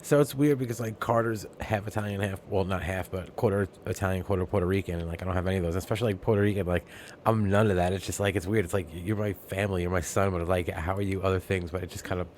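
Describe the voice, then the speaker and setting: A man's voice: low voice, fairly even intonation, quick pace, darkish tone, a little hiss or hum. A man co-hosting a comedy podcast, rambling through stories with many fillers and much swearing. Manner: rambling, filler-heavy, profane. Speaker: podcast co-host. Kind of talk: crude comedic banter.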